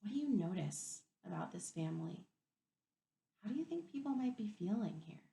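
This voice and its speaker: A woman reading a picture book aloud to young children as a teacher, expressively, pausing to wonder aloud and ask them questions. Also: medium pitch, creaky. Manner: expressive, wondering aloud, inviting listeners to reflect.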